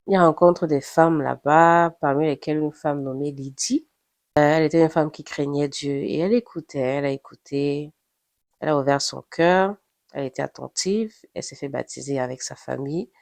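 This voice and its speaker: A woman's voice: low voice, a little mellow, no echo. A woman with a nasal voice from the flu, casually reading and reflecting on a Bible passage, thinking aloud with restarts. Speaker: Bible reader sharing reflections.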